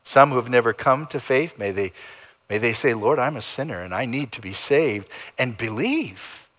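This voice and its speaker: A man preaching a sermon as pastor, in a dramatic style that swings between loud emphasis and soft, low endings. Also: animated, normal speed. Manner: dramatic preaching with big swings in pitch and loudness. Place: church sanctuary.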